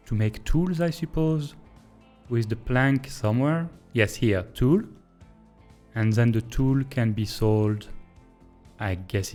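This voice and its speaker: A man with a French accent, a native French speaker, thinking aloud in a hesitant, pause-filled way as he streams and comments on a train-building game. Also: medium pitch, darkish tone. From Belgium. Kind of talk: casual live-stream gameplay commentary.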